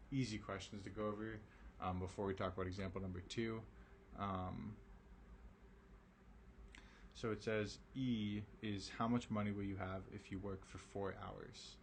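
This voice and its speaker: A man teaching a recorded algebra lesson, his voice raspy and tired from a late hour, talking casually with lots of ums and okays. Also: fairly low pitch, steady tone, unhurried, a little hiss or hum. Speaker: math teacher.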